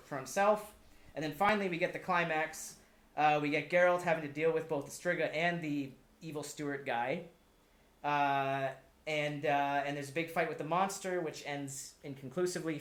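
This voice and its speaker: A man chatting casually on a podcast as a guest, explaining things in a halting, rambling way with repeated words. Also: a little high, fairly bright tone. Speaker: podcast guest pitching a film adaptation. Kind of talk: casual chat. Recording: echoey room.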